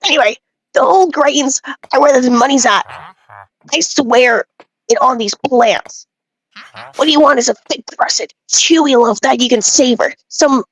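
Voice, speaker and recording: A woman's voice: fairly high pitch, poor audio. A woman reading aloud as an opinionated, folksy male bread enthusiast who rambles and grumbles through game dialogue. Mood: opinionated, boastful.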